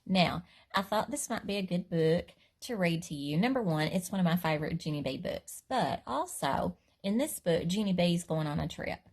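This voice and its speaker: A woman's voice: on the low side, animated, normal speed, few pauses, darkish tone, breathy edge. A woman teacher chatting casually to children before reading a storybook aloud, in a friendly, chatty way. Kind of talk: casual intro to a read-aloud, then storybook narration.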